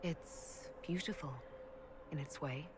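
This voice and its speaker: A woman's voice: low-pitched, slow, background noise. A woman playing a confident, decisive leader in a fantasy game, composed and direct. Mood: curious. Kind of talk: dramatic fantasy game acting.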